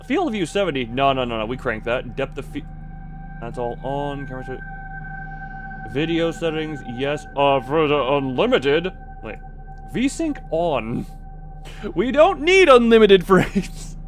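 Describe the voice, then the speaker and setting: A high-voiced man livestreams in a goofy, rambling way, his pitch jumping around as he breaks off his own sentences. He chats casually while setting up a game, with music playing behind him. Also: wildly swooping pitch, measured pace. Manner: goofy, rambling, self-interrupting. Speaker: game streamer.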